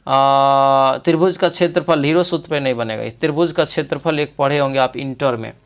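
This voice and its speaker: A man's voice: medium pitch, a little fast, clean tone. A man with a Bihari Hindi accent teaching through exam questions, often checking that listeners follow. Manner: instructional, repeatedly checking with listeners. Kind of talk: exam question walkthrough lesson.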